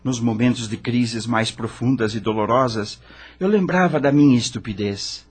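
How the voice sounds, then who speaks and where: A man's voice: medium pitch, moderate pace, breathy edge, close to an everyday voice. An actor playing an anguished dead rock star who narrates his own suffering dramatically in a radio drama. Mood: remorseful.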